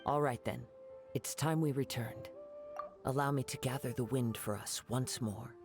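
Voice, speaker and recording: A man voicing a calm young male wandering samurai in an ASMR fan voice-over of game lines, speaking softly and in a measured way. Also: medium pitch, creaky, over music, natural voice.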